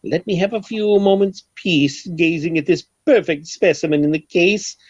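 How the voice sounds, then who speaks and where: An actor playing a pompous, eccentric millionaire obsessed with collecting eggs, with flowery theatrical delivery in old-time radio comedy style. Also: male voice, sits high, normal speed, a little mellow, breathy edge. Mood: conspiratorial, dramatic. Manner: theatrical, grandiloquent, flowery formal speech. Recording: dull old radio recording.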